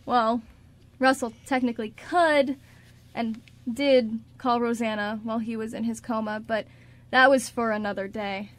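A woman's voice: fairly high pitch, steady tone, a little slow. A woman reading scripted narration for a radio drama.

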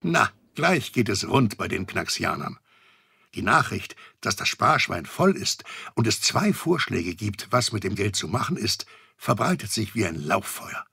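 An actor narrating a children's audio drama as a genial storyteller. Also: male voice, on the low side, sing-song, measured pace, creaky, sharp tone, husky, dry recording, clean studio sound, heavily acted. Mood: storytelling. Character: genial adult male storyteller.

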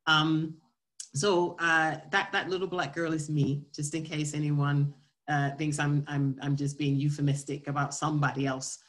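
A woman with a low voice and an American accent tells her own story in an informal talk. She is reflective, building her sentences in short, halting bursts as she goes. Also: flat delivery. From Florida, southern United States. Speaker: scientist and research leader giving a talk.

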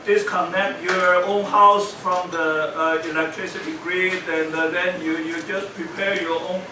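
A man with a high voice talks haltingly, stopping often on fillers. He is heard from far away in an echoey room with clear background noise. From Taiwan. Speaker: audience member at a meeting. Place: meeting room. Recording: echoey room, distant mic, noisy.

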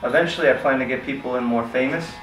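A young man hosting a comedy barbershop show, teasing his guest with deadpan jokes as he cuts hair. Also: medium pitch, fast. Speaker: host and barber. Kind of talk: joking comedy banter. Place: small barbershop, indoors. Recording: echoey room from far off with music.

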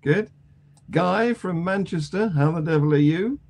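A man's voice: sits high, a little slow, gravelly, darkish tone. An older man hosting a news panel discussion in a jaunty, wry manner. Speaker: panel show host. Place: home attic room on a video call.

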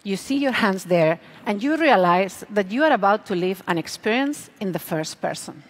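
A woman in her forties giving a rehearsed product keynote on a large stage, with deliberate, emphatic phrasing. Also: mid-range voice, lively intonation, low-quality recording. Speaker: product manager presenting.

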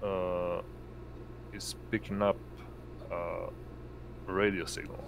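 A man narrating as game master in a tabletop game, halting and pausing mid-sentence. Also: low voice, gravelly. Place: indoors, online voice call. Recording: noisy home mic, steady hum.

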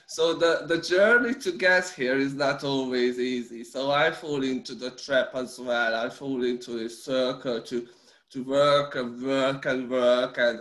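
A man in his forties, a native Hungarian speaker from Romania, speaking English with a Hungarian accent. He talks haltingly over a video call, with many restarts and repeated words. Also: medium pitch, a bit bright, roomy sound. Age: 40s. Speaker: interview guest. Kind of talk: casual video-call interview.

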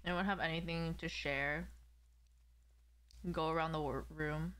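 A woman's voice: deep voice, steady tone, measured pace, a bit bright, clear, resonant tone. A young woman chatting casually and slangily as a live streamer, a non-native English speaker, close on a desk mic at home. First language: not English. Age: young adult. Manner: informal, slangy. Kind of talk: casual live-stream chat, reading and answering viewers. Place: small indoor room at home. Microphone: desk microphone on an arm, close.

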